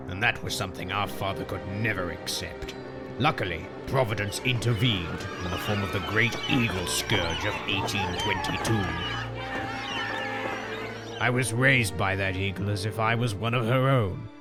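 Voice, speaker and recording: A man playing a scheming, contemptuous old long-lost brother in a comic dub, with a dry, measured, menacing delivery. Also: lowish voice. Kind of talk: theatrical comic dub acting. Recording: music behind the voice.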